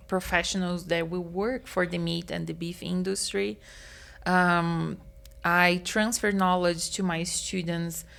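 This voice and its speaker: A woman, a meat science professor answering interview questions in an earnest, explanatory, slightly halting way. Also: on the low side, gravelly. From Brazil.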